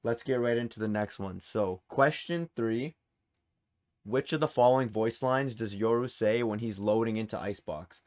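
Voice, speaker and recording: A man's voice: lowish voice, normal speed, darkish tone. A man hosting a video game trivia quiz in casual chat, informally reading questions and answer options aloud. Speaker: quiz host.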